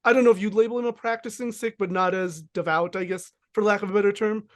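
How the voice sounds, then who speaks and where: High-voiced man hosting a podcast. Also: fairly even intonation, quick pace. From United States. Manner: thoughtful, many fillers and hedges. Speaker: podcast host. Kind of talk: casual interview chat.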